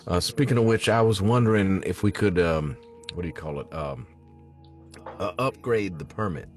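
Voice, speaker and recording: An actor reading a pious, politely pushy activist in a film script, with a hesitant, ingratiating, folksy manner. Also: male voice, sits low, normal speed, soft background music, close to an everyday voice. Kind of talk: table read of a film script.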